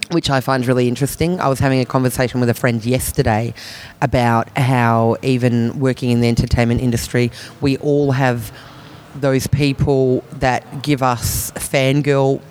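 A man who is a comedian, talking on a podcast in a halting way, with many restarts and frequent laughs. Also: medium pitch, a little mellow, a little hiss or hum. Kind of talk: podcast conversation.

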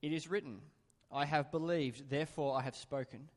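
A man reading a Bible passage aloud in a church, steady and even-paced. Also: on the high side, moderate pace. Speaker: scripture reader. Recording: long hall reverb in church.

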